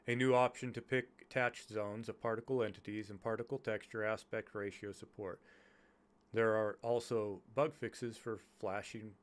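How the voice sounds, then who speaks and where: A sick, congested man with a nasal voice reads a technical development report aloud, stumbling and restarting over jargon as he goes. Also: fairly low pitch. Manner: halting reading with stumbles over technical terms. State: congested from illness. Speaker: YouTuber reading game development notes.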